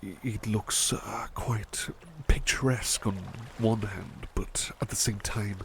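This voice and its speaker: A man playing a devoted, tender butler in an ASMR roleplay, murmuring softly and a little hesitantly to the listener. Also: on the low side, steady tone, slow, noisy background. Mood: gentle, absorbed. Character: devoted, tender male butler who loves painting.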